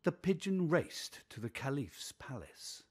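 A man's voice: on the high side, big pitch swings, a little slow, slightly rough. A man with an American accent reading a scripted children's storybook as narrator.

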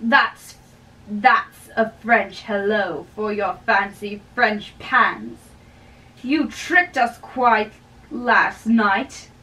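A young woman giving an amateur dramatic reading of a play, halting and stumbling over words, recorded by a distant camera in a bedroom. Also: medium pitch, bright, forceful tone. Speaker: amateur reader. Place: small bedroom, indoors. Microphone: far camera. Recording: distant microphone in a room.

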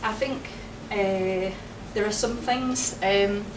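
A woman's voice: mid-range voice, fairly even intonation, slow. Chatty woman presenting in an echoey room. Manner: chatty, laughs often. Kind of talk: public presentation. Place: wood-panelled meeting room with projector. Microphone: far camera, no mic. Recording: echoey room, distant camera, noisy.

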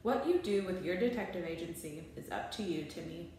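A kindly, patient man in a children's story voiced by a woman. He reasons calmly and gently. The room around him echoes strongly. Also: on the low side, a little fast, a little hiss or hum, pitched below the performer's own voice, close to an everyday voice. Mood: gentle. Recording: very echoey small bare room.

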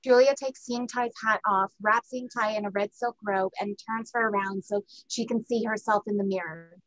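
A woman's voice: mid-range voice, steady tone, normal speed, a bit bright, low-quality recording. A woman reading stage directions as scripted narration for a play reading over a video call.

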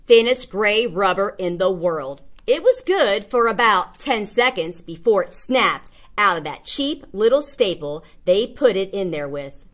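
A woman's voice: mid-range voice, animated, unhurried, fairly bright tone. A woman reading a children's picture book aloud, expressively and with emphatic delivery. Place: indoors, small classroom or office.

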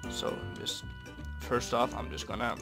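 A young man from Norway, raspy and stuffy from a cold, rambling easily with many fillers while commenting on a building game. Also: mid-range voice, measured pace. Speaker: Minecraft builder. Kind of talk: casual gameplay commentary. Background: soft guitar music.

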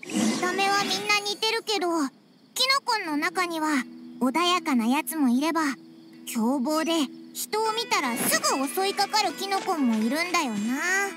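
A woman playing a small, excitable childlike fairy companion in an anime game, brash and chirpy with boyish informal speech. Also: normal speed. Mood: musing. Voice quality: squeaky, childlike. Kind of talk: anime video game acting. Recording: music behind the voice.

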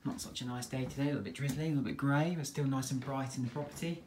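A man's voice: mid-range voice, racing. English man, estate agent tour voice, easygoing patter, phone-camera sound with faint background hiss. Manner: relaxed, chatty, plain sales patter. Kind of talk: walk-through property tour narration. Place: inside a detached house and its back garden. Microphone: handheld phone camera.

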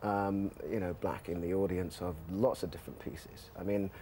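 A man in his forties with a Jamaican accent, an actor talking as a studio guest, thoughtful with pauses and fillers. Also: low-pitched, little pitch movement, warm tone, slight background noise. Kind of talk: casual TV interview talk. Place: TV studio sofa.

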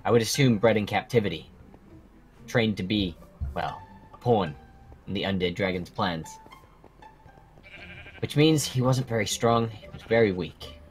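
A man's voice: fairly low pitch, lively intonation, measured pace, long pauses. A man voicing a stern, protective dragon guardian who instructs young fighters solemnly and formally in a Minecraft roleplay. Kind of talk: improvised Minecraft fantasy roleplay. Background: music.

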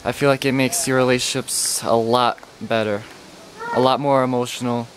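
A man sharing his retreat highlights, nervous and laughing as he talks. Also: flat delivery, normal speed, a little hiss or hum. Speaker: retreat participant.

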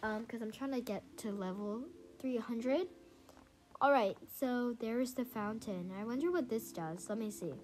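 A young woman with a congested, stuffy voice, casually commenting on her gameplay, chatty and excitable with many fillers. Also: a little high, unhurried. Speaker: gaming channel host. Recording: faint music behind. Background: faint game music.

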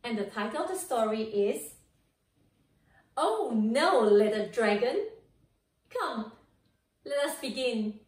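A woman's voice: on the high side, lively intonation, takes it slowly, long pauses, a little mellow. Woman host in a roomy, distant recording. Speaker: storytelling host. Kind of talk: children's story introduction. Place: indoor room. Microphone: distant camera.

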